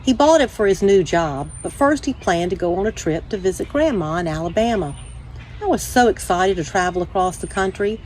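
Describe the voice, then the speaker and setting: A woman hosting a children's story time, reading aloud with measured, deliberate phrasing. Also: medium pitch, animated, darkish tone, a little hiss or hum, rough recording. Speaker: church children's ministry director, story time host.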